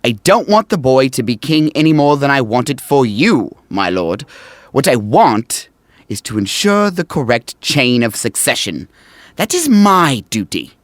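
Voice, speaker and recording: A man's voice: medium pitch, big pitch swings, unhurried, dry recording. A man playing a dutiful, unyielding royal advisor in a modern audio drama, formal and wry, deferential yet pointed. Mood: dry, insistent.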